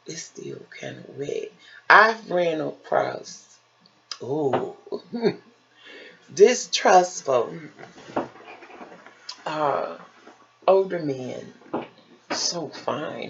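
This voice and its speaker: An American woman vlogger from Chicago tells stories in a casual, chatty way. Her voice is raspy with a creaky fry, and she takes her time, leaning on repeated phrases for folksy emphasis. The sound is a little dull and lo-fi. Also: low voice, lively intonation, very slow, long pauses, slight background noise. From Chicago, United States. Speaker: vlogger telling personal stories.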